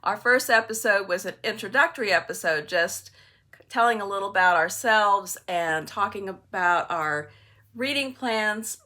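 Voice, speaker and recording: An older woman with a bright, clear-edged voice at a medium pitch. She talks casually to viewers as a book vlogger. Her manner is chatty and wry, and she readily pokes fun at herself.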